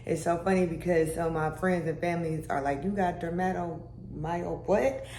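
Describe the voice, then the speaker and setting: Young woman vlogger, low deep voice, conversational and chatty, recorded in an echoey room. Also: fairly even intonation, normal speed, a little mellow. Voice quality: deeper voice. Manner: chatty, conversational, with "y'all" and "baby" asides. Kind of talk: casual health vlog. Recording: echoey room with faint background hum.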